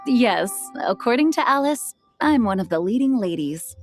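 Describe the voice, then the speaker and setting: A woman playing a dutiful young knight and acting leader in a video game, speaking in a formal, measured, earnest way. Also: on the high side, expressive, lightly acted. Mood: friendly, modest. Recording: background music under the voice. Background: music.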